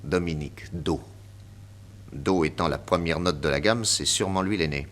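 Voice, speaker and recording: An actor playing a confident, witty detective inspector with a French accent, emphatic and theatrical in 1960s radio drama style. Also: male voice, sits low, normal speed, a bit bright. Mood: pondering. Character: confident, witty male detective inspector explaining his deductions.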